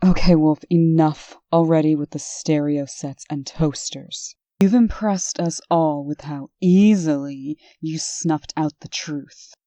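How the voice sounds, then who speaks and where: Woman playing stern sarcastic sister in a comic dub, real slow, lowish voice, dry sound, demanding. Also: dark tone, dry recording. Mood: sarcastic, impatient. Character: stern, sharp-tongued sister of a missing woman.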